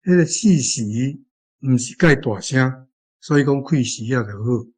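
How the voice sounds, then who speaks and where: A man with a Taiwanese accent explains wording as a language teacher. His pitch is average, and he talks informally, circling back over key phrases. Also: animated, measured pace, slightly rough.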